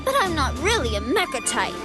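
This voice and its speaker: A woman's voice: sits high, sing-song, brisk. A woman voicing a playful, scheming female android villain in a video game cutscene, sugary and theatrical. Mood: teasing, smug. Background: loud music.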